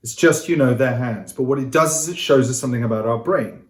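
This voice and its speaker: Guy teaching a lively lesson, chatty and encouraging, echoey room sound. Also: medium pitch, fast. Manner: upbeat, coaxing, addresses viewers directly. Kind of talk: energetic interactive lesson to camera. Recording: roomy echo.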